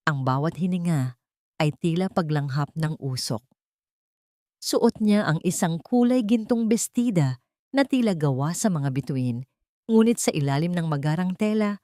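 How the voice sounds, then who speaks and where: A woman's voice: sits low, darkish tone, no room sound, clean studio sound. A woman narrator reading a scripted dramatic audio story in a solemn, emotive way.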